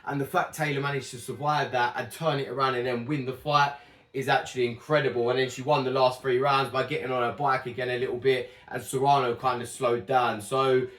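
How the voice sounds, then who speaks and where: A man's voice: mid-range voice, level tone, brisk, a bit bright. A young man chatting casually and rambling through a fight prediction, correcting himself often, his voice a little worn. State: slightly losing his voice. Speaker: boxing channel host. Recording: echoey room.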